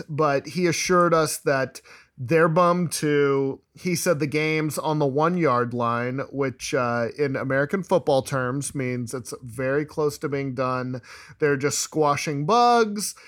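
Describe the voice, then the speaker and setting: Casting: male podcast host, slightly high voice, relaxed. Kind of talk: casual chat, reading news items.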